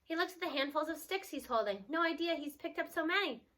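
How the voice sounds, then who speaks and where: A woman giving an expressive read-aloud of a children's novel. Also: very high voice, quick pace.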